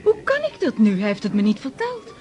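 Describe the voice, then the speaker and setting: A woman's voice: fairly high pitch, wildly swooping pitch, brisk, darkish tone. A woman acting in a radio drama as a vain, flirtatious countess and society hostess, coquettish and sophisticated. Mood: coy, flirtatious.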